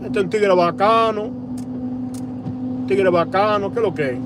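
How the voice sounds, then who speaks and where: A man voicing a brash, boastful Dominican man with a Dominican Spanish accent who talks rapidly and teases. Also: high-pitched, noisy background, natural voice.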